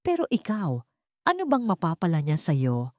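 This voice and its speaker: A woman's voice: fairly low pitch, wildly swooping pitch, moderate pace, dry recording, clean studio sound. A woman voicing a scheming, domineering stepmother in a dramatic audio storybook, with a scolding, insistent manner. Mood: angry, threatening. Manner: scolding, accusatory, sharp-tongued. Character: scheming, domineering stepmother with false sweetness and venom.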